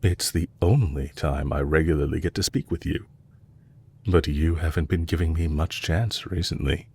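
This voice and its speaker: A man playing a composed, courteous villain leader in an audio roleplay, speaking in a measured, formal way to a captive he is fond of. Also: extremely low pitch, creaky, dark tone, breathy edge, full character voice. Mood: probing, courteous.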